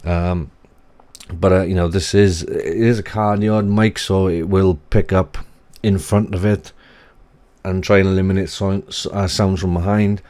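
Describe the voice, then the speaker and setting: A man in his thirties with a bassy voice, giving a rambling, off-the-cuff gear review in a small home office, speaking into a desk mic on a boom arm. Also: little pitch movement, measured pace, darkish tone. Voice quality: deep, bassy voice. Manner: rambling, self-correcting, casual. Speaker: tech reviewer. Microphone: desk USB mic on boom arm.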